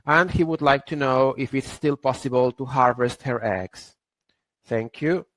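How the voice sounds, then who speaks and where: A man's voice: medium pitch, a little slow, fairly bright tone, no room sound, rough recording. A man moderating a webinar, politely reading out audience questions with fillers.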